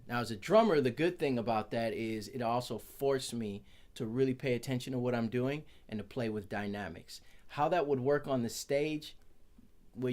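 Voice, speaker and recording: A man's voice: medium pitch, a little mellow. A man, a percussionist, giving a casual, chatty product review from his office, correcting himself and joking as he goes.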